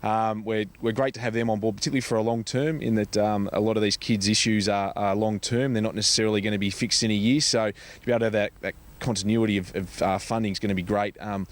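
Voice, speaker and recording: A man with an Australian accent answering interview questions in a halting, conversational way, often repeating words. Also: lowish voice, steady tone. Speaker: charity foundation representative being interviewed.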